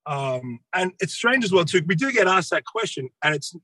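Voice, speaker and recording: A man's voice: high voice, big pitch swings, moderate pace, pushed and bright, no room sound. A man from Australia with an Australian accent, a band member chatting casually in an interview.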